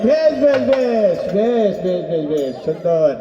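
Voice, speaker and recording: A man's voice: sits high, sing-song, measured pace, lo-fi sound, crowd noise. A man with a Bangladeshi accent presenting a folk puppet show in theatrical call-and-response patter, repeating answers aloud. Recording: echoey, loud folk percussion and music.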